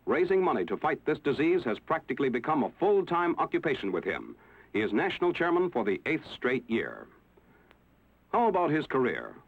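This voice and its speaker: A man narrating a 1960s newsreel in a measured, formal announcer style. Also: a little high, huge pitch swings. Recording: tinny lo-fi old film soundtrack with music.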